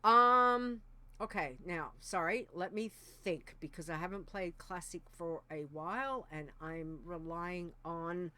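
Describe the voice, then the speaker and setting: A woman co-hosting a casual podcast, talking slowly and unhurriedly. Her voice sits a little low for a woman. She thinks aloud and hedges, filling her pauses with frequent ums. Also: bright, forceful tone. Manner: hesitant, thinking aloud with many fillers. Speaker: podcast co-host.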